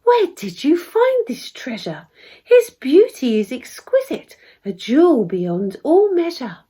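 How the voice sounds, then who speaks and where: A woman's voice: mid-range voice, big pitch swings, slow, warm tone, husky, full character voice. A woman voicing a radiant, kindly little fairy who gushes and exclaims, in a storybook read in verse. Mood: awed, gushing. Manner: gushing, exclaiming.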